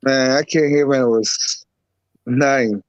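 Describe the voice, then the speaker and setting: A man's voice: medium pitch, steady tone, no room sound. Man from Barbados, dull dry sound. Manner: unhurried, rambling with repeats. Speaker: guest, herbalist and boxer. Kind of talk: casual interview talk.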